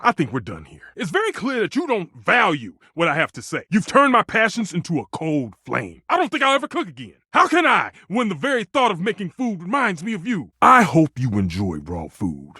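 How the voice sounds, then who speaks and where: A man's voice: medium pitch, a bit bright, slightly rough, no echo, studio quality, heavily acted. A man voicing a passionate, romantic stove who is a chef in a video game, with a theatrical, grandiose, exclamatory delivery. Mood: hurt, indignant. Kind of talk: video game character acting.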